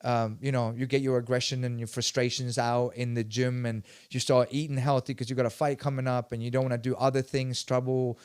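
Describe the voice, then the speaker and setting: A man's voice: medium pitch, little pitch movement, a little fast, few pauses, darkish tone, smooth, dry recording, clean studio sound. A man in his forties from Sweden, a martial arts instructor telling his story as a podcast guest in emphatic bursts, often restarting his sentences. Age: 40s. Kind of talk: casual interview storytelling.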